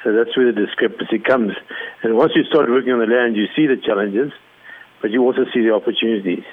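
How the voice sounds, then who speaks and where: A man from Zimbabwe, a cattle rancher, explaining his work in a measured way during an interview. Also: medium pitch. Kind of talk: phone interview. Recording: narrow phone line.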